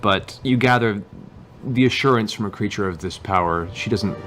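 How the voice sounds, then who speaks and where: A man acting as game master, narrating a tabletop fantasy game in vivid, halting description. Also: on the low side, fairly bright tone, faint music behind.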